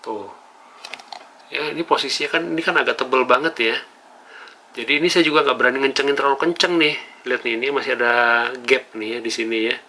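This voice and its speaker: A man's voice: medium pitch, quick pace, bright, forceful tone, a little hiss or hum. An Indonesian man giving a casual, conversational hands-on tutorial as a vlogger. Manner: conversational, often tags phrases with "ya".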